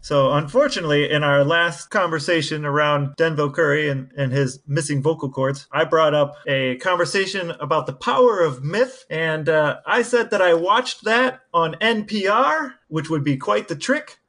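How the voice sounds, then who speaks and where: A man chatting casually as a podcast co-host, with a wry, deadpan manner. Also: fairly high pitch, moderate pace, few pauses.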